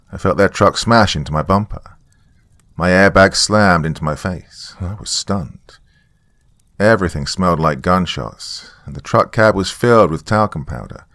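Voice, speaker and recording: Deep-voiced man narrating a dark story. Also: no echo. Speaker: narrator of horror stories. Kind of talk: dramatic scripted first-person storytelling.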